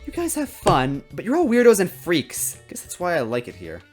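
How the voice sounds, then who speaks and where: Guy doing a bratty huffy rich girl, higher voice, blunt and bossy, noir story reading. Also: huge pitch swings, normal speed, husky, faint music behind, exaggerated voice. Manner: scornful, blunt, demanding. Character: brash, huffy, impatient rich young woman client.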